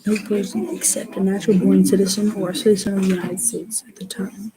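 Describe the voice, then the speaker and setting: A young woman speaks as a student in class, casual and halting as she reads and reasons aloud. Her voice sits at a middle pitch, with clear chatter from others behind her. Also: level tone, low-quality recording.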